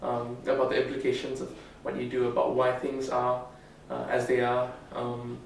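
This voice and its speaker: A teenage boy from Singapore speaks in a measured, articulate way with short thinking pauses. His pitch stays very flat. He sounds far from the mic in a very echoey space with faint noise. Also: vocal fry. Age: teens. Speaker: summer school student. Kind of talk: interview answers.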